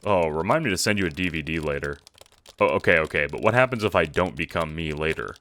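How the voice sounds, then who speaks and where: A man's voice: a bass voice, expressive, fast talker, a bit bright. A man playing a casual, foul-mouthed young time-traveling guy in an audio drama, talking in hesitant slang. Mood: curious, eager.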